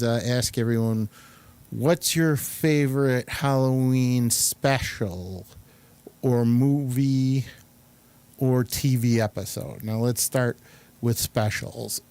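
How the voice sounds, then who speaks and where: A man hosting a casual group chat, halting and often searching for his words. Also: mid-range voice, a little mellow, clean tone, dry recording. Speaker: host.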